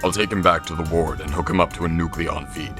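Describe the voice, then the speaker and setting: An actor playing a matter-of-fact, wearily knowing robot doctor in a sci-fi audio drama. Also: male voice, deep voice, steady tone, fast, over music, lightly acted.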